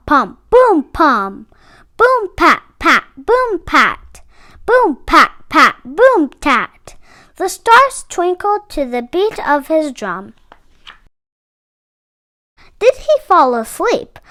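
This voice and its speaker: Slow, high-voiced woman reading a storybook. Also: bright, forceful tone. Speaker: read-aloud storyteller for children. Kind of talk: expressive picture-book narration.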